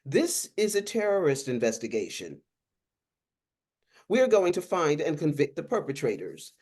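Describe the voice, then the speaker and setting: A woman's voice: sits low, big pitch swings, moderate pace, a little mellow, breathy edge, exaggerated voice. A woman voicing a curt, unapologetic male government official who speaks formally and insistently. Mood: curt, threatening.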